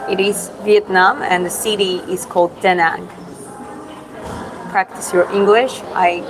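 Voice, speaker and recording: A woman chats through a casual livestream Q&A, answering viewers in an unhurried, thoughtful way. Her voice sits on the low side, with plenty of pauses, fillers and restarts. Clear background ambience from a public spot carries under her phone mic. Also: background noise. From Georgia (country). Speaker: livestream host answering chat. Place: public spot in Da Nang with other people around.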